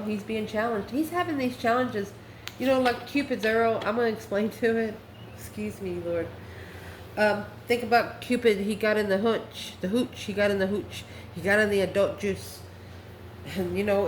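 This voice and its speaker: A woman giving an improvised online tarot reading in a folksy, colloquial and sassy way. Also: medium pitch. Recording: roomy echo with faint background noise.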